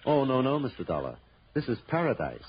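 An actor playing a courteous, smooth-talking middle-aged owner of a rest home in a 1960s radio drama. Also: male voice, mid-range voice, sing-song, brisk, lightly acted. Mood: genteel, ingratiating. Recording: dull, noisy old radio recording.

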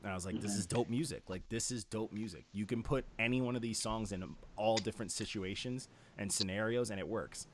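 Slangy low-voiced man with background noise. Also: fairly quick, hardly any pauses. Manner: slangy, profane, enthusiastic. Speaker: music review show host. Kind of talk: casual album review chat.

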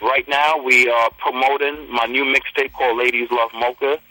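A man's voice: medium pitch, little pitch movement, moderate pace, pushed and bright, dry recording, low-quality recording. A young man from Trinidad, a rapper, talking confidently and promoting himself in a casual interview. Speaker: rapper guest.

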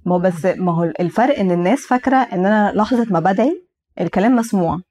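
A woman's voice: mid-range voice, racing, warm tone. A young adult Egyptian woman co-hosting a podcast, speaking reflectively and sometimes restarting her words. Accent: Egyptian Arabic. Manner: reflective, restarts words when searching for them. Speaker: podcast co-host. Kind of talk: casual podcast conversation.

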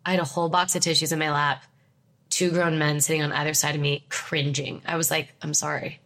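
A young woman with a stuffed-up nose from a cold, talking bluntly and emphatically. Her voice sits a little low, and she sounds opinionated and sure of herself. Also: little pitch movement, a little fast, a bit bright. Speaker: podcast co-host. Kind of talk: casual chat.

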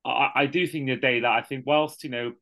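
Casting note: host in his twenties, forceful tense tone, loose rambling talk. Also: medium pitch, steady tone, fairly quick. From Wales. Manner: rambling, many restarts. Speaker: podcast host. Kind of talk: casual chat.

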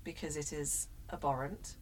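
A woman co-hosting a podcast, chatting casually, self-mocking and quick to laugh, with the odd swear. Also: low voice, fairly even intonation, a little hiss or hum. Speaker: podcast co-host. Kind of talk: casual chat.

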